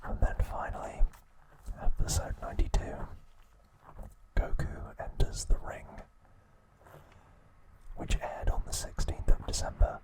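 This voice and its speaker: A male ASMR host speaks entirely in a soft whisper. He narrates from a script with a measured, soothing bedtime delivery, keeping his voice unvoiced throughout. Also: rough recording.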